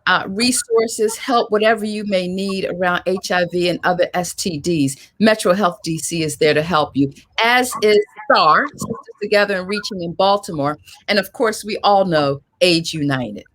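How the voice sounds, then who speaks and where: A woman's voice: on the low side, moderate pace, few pauses, fairly bright tone. Woman moderating a panel, speaking emphatically. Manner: emphatic, complimentary introductions. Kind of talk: hosting a virtual panel discussion.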